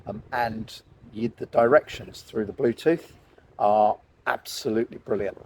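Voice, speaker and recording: A man's voice: on the low side, measured pace, darkish tone, a little hiss or hum. A man giving a casual, explanatory product review, speaking informally and directly to viewers. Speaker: vlog host and product reviewer.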